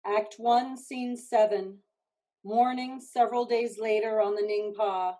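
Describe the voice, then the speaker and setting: A woman's voice: on the high side, fairly even intonation, unhurried, warm tone, rough recording. A woman reading scripted stage directions as narrator.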